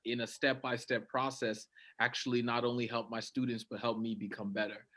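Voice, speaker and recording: A man with a Canadian accent, a stand-up comedian talking casually as a guest in a measured, explanatory way. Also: medium pitch, a bit bright. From Canada, Scarborough, Ontario.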